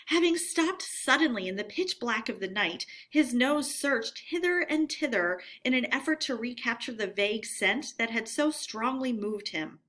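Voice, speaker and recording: Bright-voiced woman reading a story aloud. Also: fairly high pitch, expressive, normal speed, few pauses. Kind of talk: expressive storybook narration with explanations.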